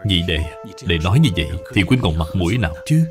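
A man's voice: low-pitched, sing-song, fast talker, music in the background, pitched below the performer's own voice. A man voicing a contrite elder brother in a cartoon, pleading and blaming himself. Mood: ashamed. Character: ashamed, contrite elder brother in old China.

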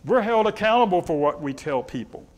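A middle-aged man with a loud, carrying voice, preaching a sermon in a church sanctuary. He paces and gestures and asks the congregation rhetorical questions. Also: high voice, animated, a little fast, big-room sound, muffled recording. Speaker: pastor.

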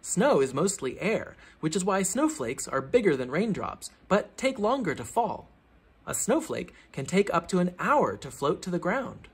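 A man with a fairly high, husky voice reads aloud for children. His pitch swings in a very lively way, with theatrical emphasis and teacherly, explanatory phrasing. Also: moderate pace. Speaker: children's book reader, teacher. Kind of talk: expressive read-aloud of a children's science book.

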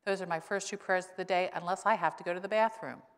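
A woman's voice: fairly even intonation, fast talker. A woman in her sixties, a pastor, preaches a conversational sermon with wry humor from a church pulpit microphone. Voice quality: voice grown lower since illness, she says. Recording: long reverb of a church sanctuary.

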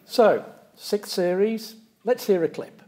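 A man's voice: sits high, slow pace, darkish tone, slight background noise. A middle-aged man, interviewing a guest on stage. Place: large lecture theatre stage.

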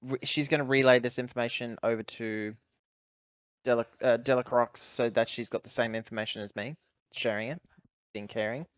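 A man talks through his moves as a player in a roleplaying game, casual and a bit halting. His voice is clean and mid-pitched. The sound is dry, with the top end slightly dulled. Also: fairly even intonation, dry recording, muffled recording.

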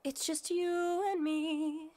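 A lonely, pleading young princess in an animated game. Her voice is very high and she lets the words out slowly. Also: soft background music. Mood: pleading, sad.

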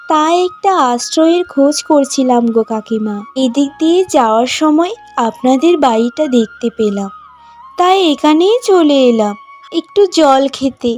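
A woman's voice: high voice, expressive, normal speed, darkish tone, soft background music. A woman voicing a vain, haughty young bride in a cartoon, with an affected, self-admiring manner. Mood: coy, pleading. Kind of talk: cartoon voice acting.

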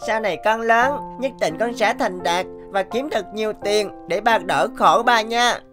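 A woman's voice: mid-range voice, measured pace, lightly acted. A woman voicing a small, loving, earnest young boy in a cartoon, speaking sweetly. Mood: hopeful, loving. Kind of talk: animated cartoon dialogue. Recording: music behind the voice.

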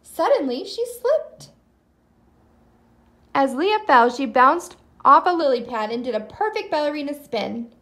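A woman reading a children's storybook aloud as narrator and host, in an animated style. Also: sits high, measured pace. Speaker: storybook reader, channel host.

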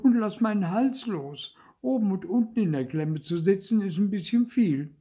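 High, whiny, cheeky little puppet boy. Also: lively intonation, slow pace, warm tone, breathy edge, exaggerated voice. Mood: indignant shouting. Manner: whining, protesting, theatrical. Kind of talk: dramatised children's storybook reading.

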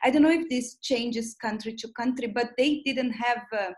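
A woman's voice: on the high side, moderate pace. A woman taking part in a seminar on a video call, asking a question politely and explaining it carefully at length.

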